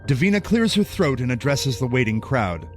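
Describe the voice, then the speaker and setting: A man's voice: medium pitch, sing-song, moderate pace. A man reading scripted, dramatic narration for an audio drama. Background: prominent music.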